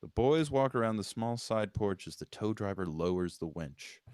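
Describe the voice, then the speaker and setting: Male narrator for screenplay directions: lower-pitched, dry close sound, scripted table-read delivery. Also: lively intonation, normal speed, a little mellow, clear, resonant tone, dry recording. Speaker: stage directions reader.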